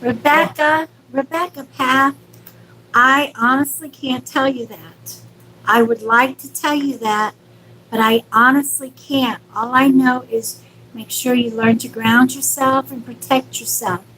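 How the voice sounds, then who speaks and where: Bright, high-pitched woman giving spiritual readings. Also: steady tone, a little slow, slight background noise, rough recording. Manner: affectionate, reassuring, uses endearments. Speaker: psychic medium hosting a live stream. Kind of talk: casual live spiritual reading to viewers.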